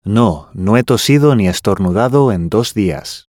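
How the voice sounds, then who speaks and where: A man reading scripted language-course dialogue with careful, clearly enunciated delivery. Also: fairly low pitch, lively intonation, moderate pace, a little mellow, no room sound, clean studio sound.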